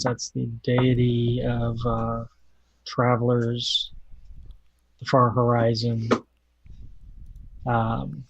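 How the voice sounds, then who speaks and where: A man in casual podcast chat who talks unhurriedly and fills pauses with "um" and "uh". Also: medium pitch. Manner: unhurried, with filler "um" and "uh". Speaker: podcast co-host. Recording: dull lo-fi audio.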